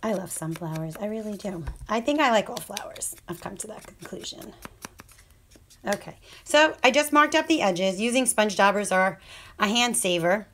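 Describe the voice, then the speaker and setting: A woman's voice: medium pitch, expressive, moderate pace, fairly bright tone. A woman with a scratchy voice demonstrating paper crafts, chatty and apologetic, often murmuring half to herself as she works. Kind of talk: casual hands-on craft tutorial.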